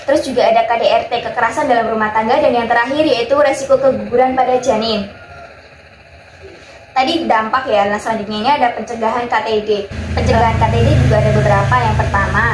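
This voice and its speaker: Young woman presenting a health talk, fast and a bit high, in an echoey noisy room. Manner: brisk listing, strings points together with "nah" and "terus juga". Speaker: midwifery student presenter. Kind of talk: health education talk to a small group. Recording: echoey room with background noise.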